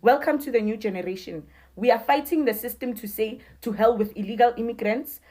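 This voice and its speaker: Female commentator, South African accent, fairly high pitch, emphatic declarative monologue. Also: darkish tone. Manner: emphatic, declarative, short punchy sentences. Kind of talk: opinion monologue to camera.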